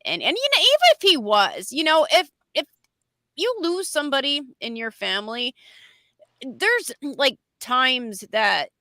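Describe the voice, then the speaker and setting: A woman's voice: high voice, big pitch swings, measured pace, bright, forceful tone, no room sound. A woman in casual panel chat, talking bluntly and emphatically, slightly nasal from a cold coming on. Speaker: panelist on a livestream open panel.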